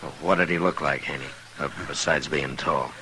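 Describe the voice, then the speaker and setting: Frontier US marshal, very low voice, forceful edge, American, dry and watchful, old radio drama with surface noise. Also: male voice, steady tone, fairly quick. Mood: businesslike, concerned. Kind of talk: 1950s western radio drama acting. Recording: noisy old radio broadcast recording.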